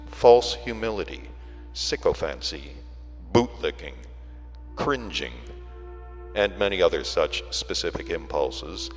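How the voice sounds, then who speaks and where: Deep male audiobook reader, deliberate w lots of pauses, music behind him. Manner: deliberate phrasing with frequent pauses. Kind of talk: scripted literary narration. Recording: reverberant hall sound with music.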